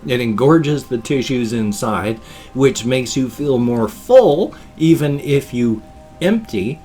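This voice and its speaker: A stuffed-up, slightly wheezy man in his fifties rambles through a home vlog. He talks in a loose, animated way, gesturing with both hands in a small cluttered room. Faint music sits underneath. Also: mid-range voice, slow pace. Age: 50s. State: congested and wheezy. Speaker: vlogger. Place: small home room full of toys and collectibles. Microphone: webcam framing at desk.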